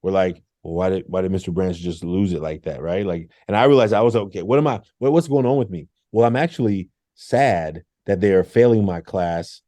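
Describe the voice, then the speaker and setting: A middle-aged man, a former engineer turned teacher, telling animated stories in an interview, acting out quotes and laughing often. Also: sits low, a little fast, few pauses, dry recording. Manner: lively, acts out quotes with laughs.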